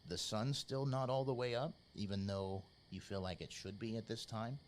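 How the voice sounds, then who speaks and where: A man narrating a tabletop role-playing game as game master, in a measured, informal, descriptive storytelling style. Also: fairly low pitch, fairly even intonation, slight background noise. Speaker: game master, podcast host.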